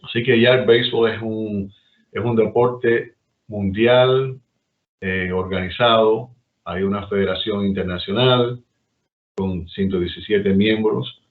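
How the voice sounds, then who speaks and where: A man's voice: lowish voice, fairly even intonation, measured pace. A middle-aged Cuban man with a Cuban accent, telling stories in a rambling casual chat. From Cuba. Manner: storytelling, rambling with restarts. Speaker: guest. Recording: tinny phone-like line.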